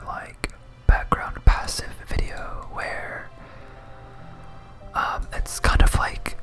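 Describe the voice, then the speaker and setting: A whispered, breathy voice gives ASMR gameplay commentary at a slow pace. The delivery is hesitant and rambling, often self-deprecating. Music plays clearly behind it. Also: fairly bright tone. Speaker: host, game streamer.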